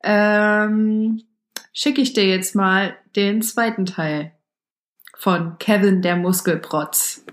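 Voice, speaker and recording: A woman's voice: medium pitch, steady tone, slow pace, reverberant. A woman hosting a casual podcast, teasing her guest and giggling often. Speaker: podcast host. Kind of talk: casual chat.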